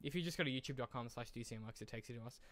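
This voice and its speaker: A man's voice: medium pitch, rapid-fire, fairly bright tone. A man co-hosting a podcast, chatting casually in a rambling, discursive way with the odd swear word. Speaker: podcast co-host. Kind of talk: casual conversational podcast chat.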